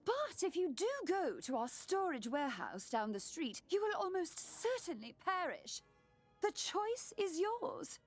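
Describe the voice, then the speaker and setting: An actress voicing a chirpy, posh, flustered museum attendant in a game, with a fussy, breezy, theatrical delivery. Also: female voice, very high voice, very animated, measured pace, full character voice. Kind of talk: scripted game dialogue. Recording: game audio over background music.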